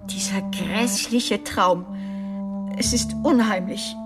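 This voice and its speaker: An actress playing a distressed upper-class widow in a radio crime drama, speaking in a dramatic, haunted way. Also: female voice, medium pitch, takes it slowly, loud music behind the voice, natural voice. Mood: shaken, distressed.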